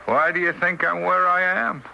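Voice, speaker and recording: Creaky, fretful old male ghost. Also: sits high, steady tone, moderate pace, dull audio. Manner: hesitant, stammering, apologetic. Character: timid, fretful ghost of an old man, wistful father. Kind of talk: old-time radio drama acting. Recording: noisy old radio drama broadcast.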